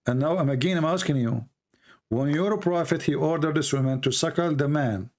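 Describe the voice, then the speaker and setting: Male debate host, slightly high voice, relentless questioner. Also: brisk, a little mellow. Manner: pressing, repetitive questioning. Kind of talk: live call-in debate.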